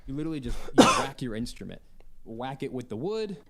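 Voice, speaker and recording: Chatty man with a medium-pitched voice. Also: sing-song, brisk, loud music behind the voice. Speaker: composer and violinist being interviewed. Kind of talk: casual interview storytelling. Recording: lightly noisy playback with clicks.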